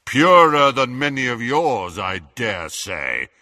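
A man's voice: mid-range voice, very animated, slow, bright, forceful tone, no room sound, studio quality, heavily acted. A man voicing a young wizard posing as a powerful official, blustering with authority. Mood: commanding.

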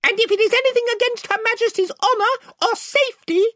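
A man's voice: extremely high pitch, brisk, pushed and bright, dry recording, clean studio sound, pitched above the performer's own voice. A man voicing a gallant, haughty talking mouse warrior in a children's storybook, with a formal, chivalrous manner. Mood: indignant.

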